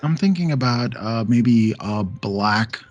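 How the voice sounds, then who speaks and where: A man guest on a hobby podcast, telling stories in a relaxed, laid-back way and laughing often. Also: mid-range voice, slow pace, dry recording, highs cut off, soft background music. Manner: storytelling, laughs often, acts out quotes. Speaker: podcast guest, painting channel creator. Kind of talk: casual podcast chat.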